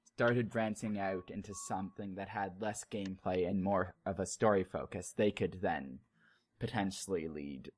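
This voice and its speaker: A man's voice: low-pitched, moderate pace. A man speaking as a podcast guest in a casual group discussion.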